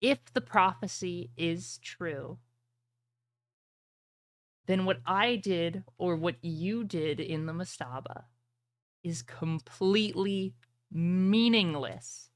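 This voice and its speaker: A woman's voice: fairly low pitch, slow, long pauses, no echo. A woman voicing the summoned ghost of a dead man, a grave and portentous prophet of doom in a tabletop game. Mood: grave, foreboding.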